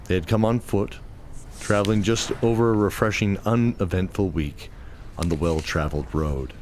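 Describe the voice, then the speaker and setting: A man reading scripted fantasy audio drama narration in a measured storytelling manner. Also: low voice, darkish tone, a little hiss or hum. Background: music.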